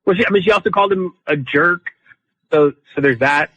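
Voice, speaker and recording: A man calling in to a radio show, telling his story casually in an earnest, halting way. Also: on the high side, pushed and bright, slightly rough, dry recording, poor audio. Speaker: caller.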